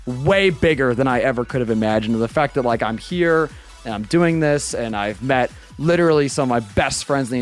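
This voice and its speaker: A twenty-year-old man chatting casually on a livestream, animated and swearing, close to a boom-arm studio mic in a small bedroom. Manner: animated, swearing, talks with his hands. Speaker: streamer. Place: small bedroom streaming room with purple lights. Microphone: studio mic on boom arm, headphones.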